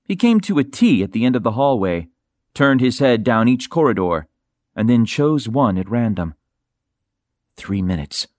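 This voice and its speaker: Measured male audiobook narrator, dry studio sound. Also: mid-range voice, big pitch swings, darkish tone, no room sound. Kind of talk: scripted narration of a science fiction novel.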